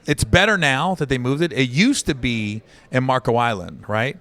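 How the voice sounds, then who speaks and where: A man's voice: medium pitch, normal speed. A man in an easygoing podcast conversation, spinning anecdotes about gigs on the road. He acts out other people's lines as he goes and keeps checking in with "right?". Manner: animated storyteller, acts out quotes, says "right?" often. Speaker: comedian guest. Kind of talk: casual podcast chat.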